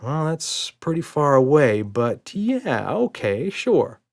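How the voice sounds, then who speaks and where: A man's voice: on the high side, sing-song, slow pace, creaky, pitched above the performer's own voice, exaggerated voice. A man voicing a patient, reassuring, playful father in a children's storybook, indulgent and mock-serious.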